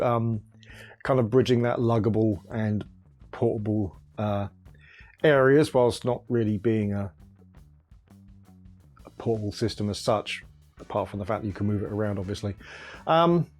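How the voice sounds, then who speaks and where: A man's voice: mid-range voice, darkish tone. A man hosting his own video channel, explaining things in a casual, earnest way, thinking aloud and restarting his sentences often. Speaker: retro computer video host. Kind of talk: casual explainer talk to camera.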